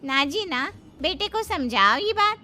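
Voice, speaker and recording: A woman's voice: high-pitched, sing-song, unhurried, bright, forceful tone, heavily acted. A woman voicing a nosy, gossipy friend who scolds and provokes with questions in a storybook drama. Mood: stirring trouble. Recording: music behind the voice.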